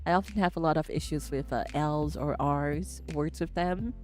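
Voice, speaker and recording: A woman's voice: low voice, moderate pace, darkish tone, soft background music. A woman streamer in casual chat, switching between English and Japanese, rambling and laughing often.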